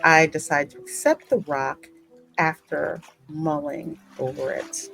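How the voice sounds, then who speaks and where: Slow, lowish-voiced woman narrating over music. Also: creaky. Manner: expressive, dramatic read-aloud with casual exclamations. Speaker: gaming channel host. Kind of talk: narrating and reacting to a game story. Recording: music behind the voice. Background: game music.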